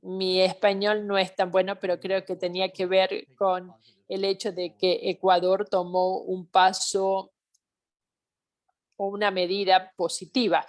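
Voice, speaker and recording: A woman simultaneously interpreting a health training webinar, speaking in halting phrases with pauses and self-corrections. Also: medium pitch, little pitch movement. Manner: halting delivery with pauses and restarts.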